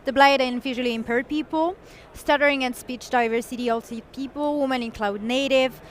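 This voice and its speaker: Higher-pitched woman explaining eagerly. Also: fairly even intonation, a little fast. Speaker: software engineer and community guest. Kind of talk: conference interview.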